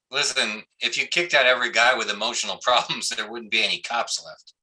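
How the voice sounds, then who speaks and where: A man acts out a defensive policeman and army veteran in a staged play. The voice is very bright, hard and forceful. He is blunt, profane and quick to dodge a question. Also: medium pitch, low-quality recording. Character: defensive, foul-mouthed male cop and army veteran. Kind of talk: live staged play reading.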